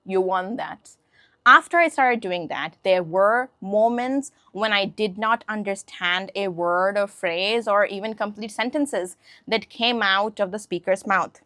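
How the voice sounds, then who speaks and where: A woman whose first language is Hindi talks through an English lesson in an easy, conversational way, often stopping to check that her listeners follow. Her voice sits at a middle pitch and is recorded close and dry, with no room sound. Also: a little slow, sharp tone, no echo. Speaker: English teacher. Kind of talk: casual spoken lesson to camera.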